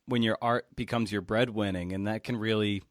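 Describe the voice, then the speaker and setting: A man hosting a podcast, asking questions conversationally in a halting, rambling way. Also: fairly low pitch, steady tone, no echo, clean studio sound. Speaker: podcast host. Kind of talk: conversational interviewing.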